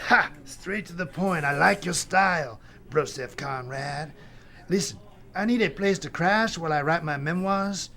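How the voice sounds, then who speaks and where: A man's voice: a little high, unhurried, pushed and bright. A man playing Death as a brash, glib, freeloading cousin who rambles in bro talk in an audio comedy drama. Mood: smug, pitching.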